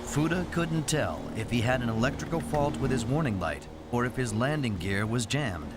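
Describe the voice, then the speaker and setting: A man's voice: mid-range voice, lively intonation, moderate pace, heavy background noise. A man reading scripted, dramatic documentary narration as the narrator. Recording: loud music under voice. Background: loud background music.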